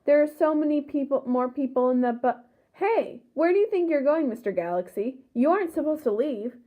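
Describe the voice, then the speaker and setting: A woman's voice: sits high, animated, fairly quick, voice pushed up. A woman reading the part of a stern castle guard in a storybook, challenging and insistent. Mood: alarmed. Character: stern, alarmed castle entrance guard serving dictators. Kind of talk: storybook read-aloud dialogue. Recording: thin, phone-like sound.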